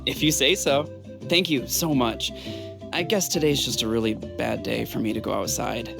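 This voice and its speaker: A man's voice: mid-range voice, steady tone, moderate pace, vocal fry, lightly acted. A man playing a flustered, frightened delivery porter in a video game, hesitant and given to exclaiming. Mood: confused. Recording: loud background music.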